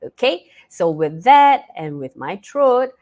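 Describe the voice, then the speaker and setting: A woman hosting a live talk stream, hoarse and stuffy-nosed, chatty with many fillers and restarts. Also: mid-range voice, sing-song, slow, darkish tone. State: hoarse, losing her voice; stuffy nose. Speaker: live talk show host.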